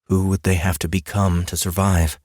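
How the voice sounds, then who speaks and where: Male narrator for fantasy fiction, deep register, scripted storytelling, very dry booth. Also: little pitch movement, moderate pace, darkish tone, no echo. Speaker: audiobook narrator. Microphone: close studio mic, dry booth.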